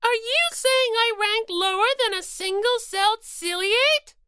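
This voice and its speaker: A woman's voice: very high-pitched, measured pace, pushed and bright, no room sound, studio quality, pitched above the performer's own voice, full character voice. A woman voicing a cute, naive magical-girl rabbit mascot for an audiobook, plaintive and easily hurt. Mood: tearful, hurt. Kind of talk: audiobook character voice.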